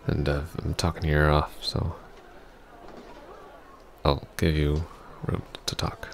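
A man playing a heartbroken, earnest ex-boyfriend in an intimate ASMR roleplay, speaking haltingly and sometimes dropping to a near whisper. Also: extremely low pitch, monotone, a little hiss or hum, heavily acted. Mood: pleading, heartbroken. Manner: halting, hesitant, breathy half-whispers. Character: heartbroken, earnest young ex-boyfriend pleading for closure.

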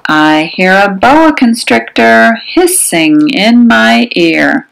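A woman reading aloud to young children as a storyteller, in an expressive, singsong and emphatic manner. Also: a little high, vocal fry, rough recording. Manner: singsong, deliberate, emphatic delivery. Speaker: children's read-aloud host. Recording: music behind the voice. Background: music.

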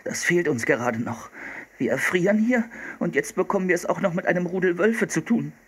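A man's voice: high voice, expressive, brisk, fairly bright tone, husky, voice pushed up, exaggerated voice. A man voicing an anxious, grumbling, cautious young boy in a children's storybook. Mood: whining.

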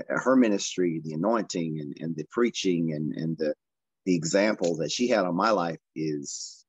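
A hoarse man in his fifties, a pastor sharing memories on a video call, speaking reflectively with pauses and fillers. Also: low-pitched, no room sound. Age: 50s. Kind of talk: casual testimony. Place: indoor room with wood behind. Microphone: webcam on a video call. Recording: dry, dull lo-fi video-call audio.

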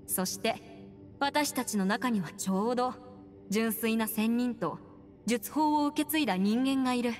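Young, self-assured scholar heroine for an anime game, played by an actress, higher register, reverberant. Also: female voice, a little slow, lightly acted. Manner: assured, explanatory, crisp phrasing. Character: bright, confident young woman legal scholar. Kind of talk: Japanese anime-style game voice acting. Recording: long reverb, music underneath.

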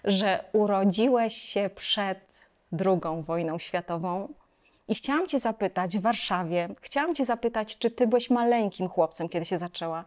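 A woman's voice: mid-range voice, normal speed. A woman in her forties hosting a television interview, speaking as presenter in a studio. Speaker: interviewer and programme host. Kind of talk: studio interview with scripted greeting. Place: furnished indoor TV studio.

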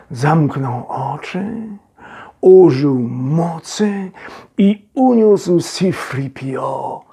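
A man reading a story as a storyteller, with expressive, theatrical phrasing and dramatic pauses. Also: on the high side, gravelly, husky. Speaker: storyteller, actor reading a children's audio story. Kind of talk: dramatic scripted storybook narration.

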